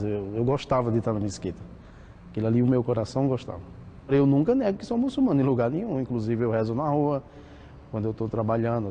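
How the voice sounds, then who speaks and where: A man's voice: mid-range voice, warm tone, slight background noise. A Brazilian man talking casually and a little rambling about his faith and his daily life. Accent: Brazilian Portuguese. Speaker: convert interviewee. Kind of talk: personal testimony.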